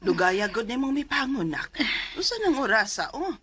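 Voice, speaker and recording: A woman's voice: fairly high pitch, very animated, moderate pace, music in the background. An actress playing a fussing, concerned middle-aged mother in a radio drama, with a Cebuano accent. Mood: nagging.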